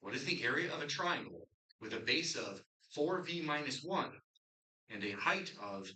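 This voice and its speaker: Bright-voiced male quiz moderator. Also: on the high side, normal speed, poor audio. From Connecticut. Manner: relaxed, with frequent um and uh fillers between steady question reading. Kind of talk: moderating and reading quiz questions.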